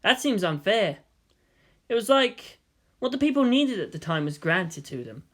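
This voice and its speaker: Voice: mid-range voice, sing-song, moderate pace, fairly bright tone, voice pushed up. A narrator voicing an exasperated, sarcastic teenage boy hero in a fantasy story. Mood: reflective.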